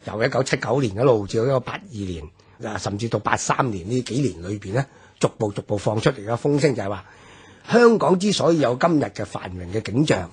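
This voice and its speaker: 聲音非常沙啞嘅香港男人，講歷史. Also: male voice, medium pitch, expressive, darkish tone, slightly rough. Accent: Hong Kong Cantonese. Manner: measured, explanatory, many fillers. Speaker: history commentator. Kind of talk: radio history discussion. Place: radio studio.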